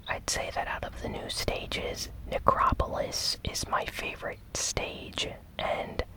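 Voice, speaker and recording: A host softly whispering a gaming news recap in a breathy, unhurried ASMR style. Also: rough recording. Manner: slow, soft, unhurried delivery.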